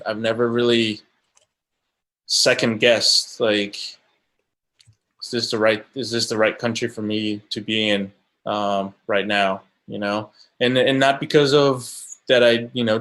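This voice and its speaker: A man's voice: fairly low pitch, fairly bright tone. A man from Colombia, a guest on an interview podcast, speaking in a casual, thoughtful way with many halts and restarts. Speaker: guest camera assistant.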